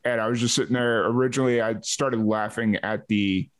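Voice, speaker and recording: A man hosting a casual music interview, halting as he talks and often repeating words. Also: fairly low pitch, steady tone. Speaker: host.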